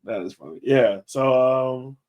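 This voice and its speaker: A man's voice: medium pitch, measured pace, darkish tone, muffled recording. A man co-hosting a soccer podcast in casual chat, laid-back and thinking aloud, often trailing off. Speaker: podcast co-host.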